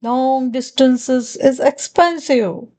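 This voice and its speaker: A woman's voice: high voice, slow, dark tone. A woman voicing a panicky little girl who exclaims shrilly, in a storybook reading. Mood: alarmed.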